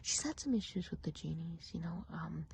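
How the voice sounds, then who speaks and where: A woman playing a friendly, chatty witch of the woods in an ASMR roleplay, whispering softly and breathily in an unhurried, musing way. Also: low-pitched, lively intonation, vocal fry, slight background noise. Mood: gossipy, fond. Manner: unhurried, gossipy, hesitant musing.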